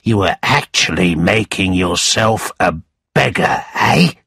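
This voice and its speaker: A man voicing a scornful, sly, angry moneylender in a storybook, with a sneering, emphatic, drawn-out delivery. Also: deep voice, expressive, gravelly, bright, forceful tone, breathy edge, no room sound, studio quality, heavily acted.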